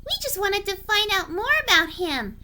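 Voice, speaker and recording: A woman's voice: extremely high pitch, lively intonation, moderate pace, sharp tone, voice pushed up, exaggerated voice. A woman voicing an eager, playful little girl pony in a story, childlike and pleading.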